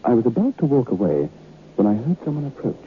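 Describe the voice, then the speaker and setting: An actor playing a haunted, curious former student who narrates a vintage radio horror tale in a deliberate, literary, brooding way. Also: male voice, mid-range voice, very animated, natural voice. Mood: intrigued, hushed. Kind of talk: dramatic first-person horror narration. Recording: muffled, noisy old radio recording.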